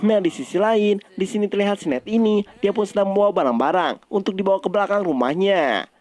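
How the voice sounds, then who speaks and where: A man's voice: sits high, fast talker, darkish tone. A man narrating a story recap in a casual, colloquial, conversational way.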